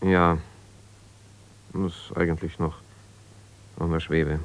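An actor playing an ambitious, self-justifying lawyer in a German radio drama, speaking haltingly and conversationally as if on the phone. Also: male voice, low voice, flat delivery. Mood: measured, advising. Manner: conversational, halting, with repeated phrases and pauses.

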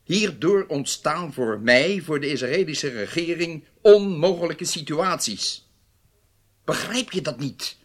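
A man acting in a radio drama as a stern, authoritative government leader who speaks formally and with measured weight. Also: fairly high pitch, animated. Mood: exasperated. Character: stern, weighty Israeli government leader rebuking his intelligence chief.

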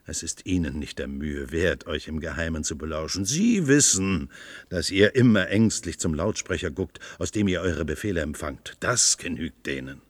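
Fanatical rabble-rouser in a german radio drama, deep husky voice, preachy and grandiose, hammers his stresses. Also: lively intonation, normal speed, dry recording. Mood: fervent, agitating. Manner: oratorical, emphatic, grandiose, stretched stresses. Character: fanatical male demagogue inciting oppressed cellar workers to revolt. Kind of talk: German radio play acting.

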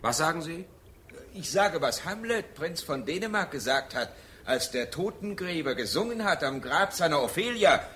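A man's voice: on the high side, expressive, sharp tone, slight background noise. An actor in a German radio drama playing a brooding, theatrical man who recites Hamlet in a declamatory manner. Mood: mocking, declamatory. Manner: theatrical, declamatory, drawn-out phrasing.

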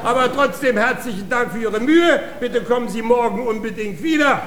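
An actor playing a vain, gullible, middle-aged bourgeois gentleman in a classical stage comedy, with astonished, exclaiming delivery. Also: extremely high pitch, normal speed, a crowd in the background. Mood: excited, grateful. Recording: roomy stage sound, faint noise.

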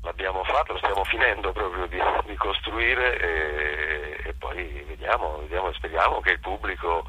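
A man being interviewed about his work, explaining in a conversational way, haltingly, often repeating himself as he searches for words. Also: low-pitched, background noise. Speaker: guest, actor and playwright.

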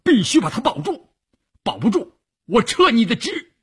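Man playing a fierce, high-strained army commissar. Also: huge pitch swings, normal speed, breathy edge, full character voice. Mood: fierce insistence. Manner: forceful, threatening, commanding. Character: fierce male army political commissar demanding a life be saved.

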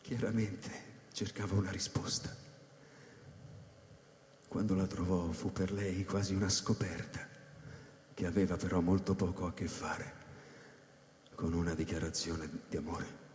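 A man's voice: low voice, little pitch movement, measured pace, pauses often, a little mellow. Troubled man narrating, cavernous echo. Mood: hushed, grave. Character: troubled man, a space station scientist narrating his dread. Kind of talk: dramatic first-person narration in radio theatre. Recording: echoey reverberant hall with music behind.

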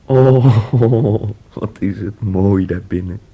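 A man acting an excitable, boastful young son in a radio play, telling things with theatrical eagerness. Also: fairly low pitch, takes it slowly, breathy edge, phone-quality sound, full character voice. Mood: excited, dreamy.

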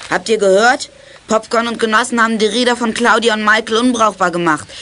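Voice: medium pitch, steady tone, fairly quick, bright, forceful tone, slight background noise, natural voice. An actor playing an eager, food-loving boy detective with an earnest, exclaiming manner in a children's radio play. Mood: eager, concerned. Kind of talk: 1980s German children's radio play acting.

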